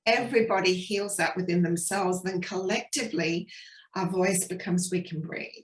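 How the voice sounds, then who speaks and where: A woman from Sri Lanka, a spiritual healer, talking gently and earnestly in a casual interview over a video call. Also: fairly low pitch, fairly even intonation, moderate pace, echoey room, a little hiss or hum, poor audio. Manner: gentle, earnest, flowing, with soft restarts.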